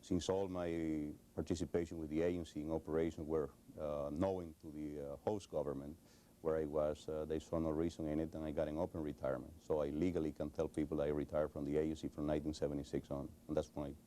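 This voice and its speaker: A man's voice: very deep voice, level tone, fast talker, warm tone, slight background noise. A middle-aged man from Cuba with a Cuban Spanish accent, answering interview questions hesitantly with many fillers and restarts. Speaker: interview guest. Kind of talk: recorded television interview.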